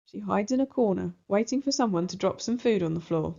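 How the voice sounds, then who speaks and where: A woman narrating a children's book aloud in an expressive storybook style. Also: medium pitch, fairly quick, vocal fry. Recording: slightly dull, muffled recording.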